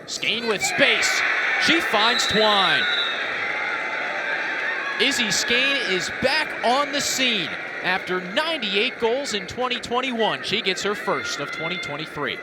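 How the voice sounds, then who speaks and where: An American man calling live televised sports as a play-by-play commentator, his delivery animated and rising with the action. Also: high voice, takes it slowly. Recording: loud crowd and stadium noise behind.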